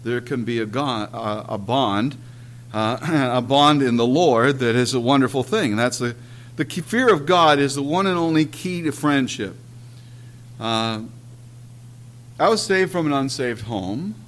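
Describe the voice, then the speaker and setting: A man preaching a sermon and telling his own story, earnest and emphatic, often clearing his throat and pausing on "uh". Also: medium pitch, a bit bright, slight background noise. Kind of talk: sermon with personal testimony.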